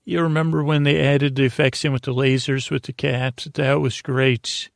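Creaky-voiced man rambling softly through a bedtime podcast. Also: mid-range voice, level tone, dry recording, clean studio sound. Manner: meandering, halting, repetitive, droning. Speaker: podcast host. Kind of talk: rambling bedtime podcast monologue meant to lull listeners to sleep.

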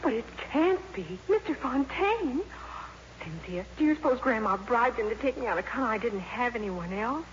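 A woman's voice: on the high side, expressive, normal speed, natural voice. An actress playing a dreamy, proud teenage schoolgirl with gushing, girlish talk, in 1950s radio style. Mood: dreamy, thrilled. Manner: breathlessly gushing, girlish slang. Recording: muffled, noisy old radio recording.

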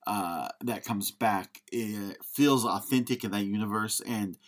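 Opinionated, lowish-voiced male podcast co-host. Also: unhurried. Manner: opinionated, frank. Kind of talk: casual film critique.

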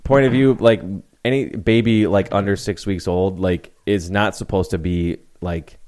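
Very low-voiced man hosting a chat. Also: fairly even intonation, moderate pace, darkish tone. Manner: laughing, joking, swears casually. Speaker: podcast host. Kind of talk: casual chat.

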